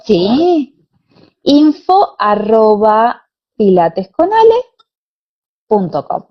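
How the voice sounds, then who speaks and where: A woman's voice: fairly high pitch, very animated, very slow. Argentine woman, dull lo-fi audio. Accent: Argentine Spanish. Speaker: interview guest, pilates teacher and former dancer. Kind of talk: conversational interview answers. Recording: dull, lo-fi online call audio.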